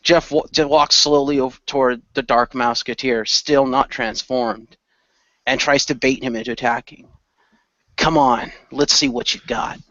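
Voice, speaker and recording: A man's voice: mid-range voice, fairly even intonation, unhurried, a bit bright, no echo, lo-fi sound. A man playing a role-playing game, improvising third-person narration and speaking in character.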